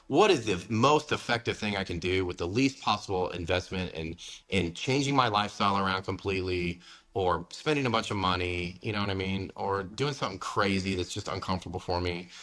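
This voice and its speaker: A man's voice: low voice, fairly quick, a bit bright. A man in his forties from the United States, talking candidly as a fitness coach in a casual interview, dropping "man" and "you know" often. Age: 40s.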